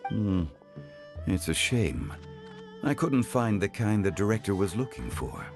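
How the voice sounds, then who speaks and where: A man voicing a composed, gentlemanly consultant with dry wit in a video game, formal and unruffled. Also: on the low side, sing-song, measured pace. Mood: composed, thoughtful. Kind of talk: measured video game acting. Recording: music under the voice.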